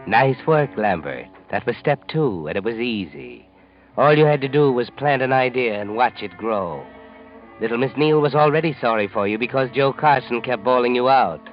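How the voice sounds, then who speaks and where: A man performs an ominous American narrator in an old radio mystery. He is sly, deliberate and mocking, as if addressing the guilty directly. Music plays behind the dull vintage recording. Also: medium pitch, expressive, close to an everyday voice. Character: sardonic, ominous male mystery narrator, knowing and taunting.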